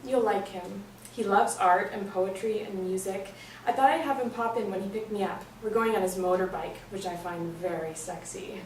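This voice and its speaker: A woman's voice: medium pitch, normal speed, natural voice. An actress in a staged play reading playing a dippy but well-meaning woman in her late twenties, breezy, guileless and flirtatious. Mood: cheerfully defensive. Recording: echoey stage, distant mic, noisy room.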